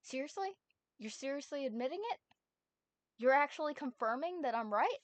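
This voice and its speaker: A woman's voice: sits high, lively intonation, no echo. A woman reading as a desperate teenage boy. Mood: frantic. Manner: pleading, rushed outbursts. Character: frightened, desperate teenage boy who is never believed. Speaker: streamer reading a fanfic aloud. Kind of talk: dramatic fanfic reading.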